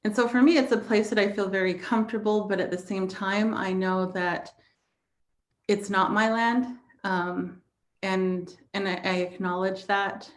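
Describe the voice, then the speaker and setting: An American woman in her forties from the Navajo Nation, talking reflectively about personal experience as a panelist. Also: medium pitch. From Navajo Nation, southwestern United States.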